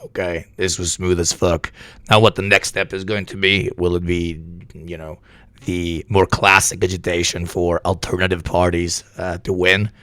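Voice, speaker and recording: A sick, hoarse man streaming live news commentary, talking bluntly and with plenty of swearing. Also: deep voice, flat delivery, moderate pace, a bit bright, dry recording. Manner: profane, blunt, rambling. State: hoarse from illness.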